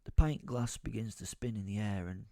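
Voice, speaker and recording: A man's voice: lowish voice, normal speed, vocal fry, dark tone, no echo, studio quality. A man playing a brooding, exasperated werewolf who tells his own story as theatrical first-person audio drama narration. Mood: bemused. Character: brooding, foul-mouthed male werewolf, seething with helpless rage.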